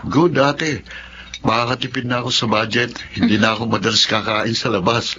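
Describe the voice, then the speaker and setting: An actor in a radio drama playing a respectful young accountant son with a polite, easygoing manner. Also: male voice, mid-range voice, fairly even intonation, fairly quick, background noise, natural voice. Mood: cheerful. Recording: dull lo-fi radio.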